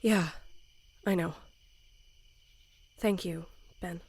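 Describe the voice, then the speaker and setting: A woman's voice: lowish voice, steady tone, extremely slow, gravelly, a little mellow, slightly rough, exaggerated voice. A woman playing an earnest, reserved but determined young female camp counselor in an audio drama, sincere and plain-spoken. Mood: reserved, friendly.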